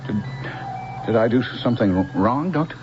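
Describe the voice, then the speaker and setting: A man's voice: medium pitch, lively intonation, moderate pace, dull audio, music in the background, natural voice. An actor playing a polite, hesitant, guileless male humanoid robot in a radio drama. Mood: bewildered, eager. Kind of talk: 1970s radio drama acting. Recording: noisy, band-limited old radio broadcast.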